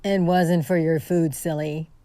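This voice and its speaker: An actress playing a superstitious, earnest diner waitress with a folksy manner in a radio mystery drama. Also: female voice, low voice, flat delivery, a little slow, mellow, no echo. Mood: earnest warning.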